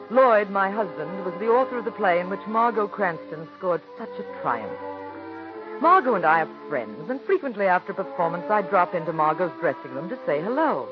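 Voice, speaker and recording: An actress playing a worldly, kindly playwright's wife who narrates her story in a 1940s radio drama. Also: fairly low pitch. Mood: wistful, reflective. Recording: dull old radio recording with music.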